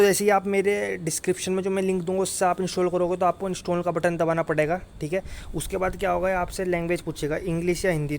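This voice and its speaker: A man's voice: high voice, a little hiss or hum. A man giving an informal exam update to students in an insistent, repetitive way. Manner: repetitive, insistent, often tags phrases with "okay". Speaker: exam-news YouTuber and coach.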